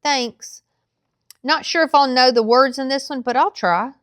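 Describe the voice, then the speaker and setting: A woman's voice: high voice, unhurried, fairly bright tone, pitched above the performer's own voice. A woman voicing a curious, hopeful young boy with an earnest, polite manner, in a storybook reading. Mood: polite, frank. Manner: earnest, questioning.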